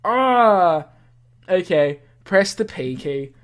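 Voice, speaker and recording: A gamer reading a visual novel aloud with casual, easily amused commentary, thinking out loud. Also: mid-range voice, animated, very slow. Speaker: gamer, channel host. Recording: music under the voice.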